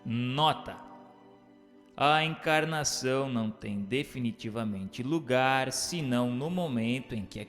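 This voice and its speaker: A man narrating and posing questions from a religious text in a formal, measured, didactic way. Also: mid-range voice, a bit bright. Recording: hall-like reverb, music bed.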